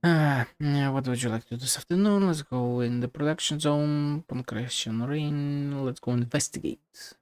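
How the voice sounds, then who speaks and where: A man's voice: on the high side, moderate pace, few pauses, a little mellow, clear, resonant tone. A man giving casual, blunt gameplay commentary, muttering to himself, swearing and reading game text aloud. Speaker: game streamer. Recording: game music behind the voice.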